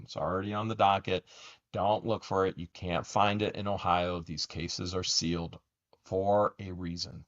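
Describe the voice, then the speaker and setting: A man co-hosting a casual commentary vlog, taunting and mocking, his voice swinging up into shouts. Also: medium pitch, lo-fi sound. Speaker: YouTube channel co-host.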